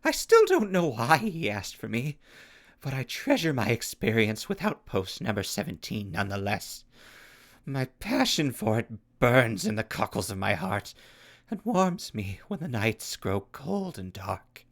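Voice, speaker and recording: A man's voice: medium pitch, sing-song, unhurried, a bit bright. A man playing a volatile pyromaniac clown soldier in an audio drama, with grandiose, florid speech and swooping outbursts. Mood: proud.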